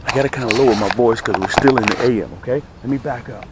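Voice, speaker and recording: A man's voice: mid-range voice, quick pace, heavy background noise. A man hosting a fishing show outdoors, chatting in an exclamatory way and repeating his phrases for emphasis as he demonstrates tackle. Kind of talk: excited fishing vlog chat, demonstrating and selling tackle.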